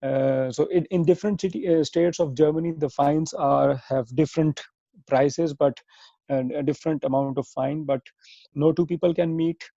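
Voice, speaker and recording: Man, thirties, Pakistani accent, hesitant interview answers, dark relaxed tone, dull lo-fi call audio. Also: fairly high pitch, creaky, dry recording. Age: 30s. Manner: hesitant, halting, self-correcting. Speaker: podcast guest, software engineer. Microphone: remote internet or phone call.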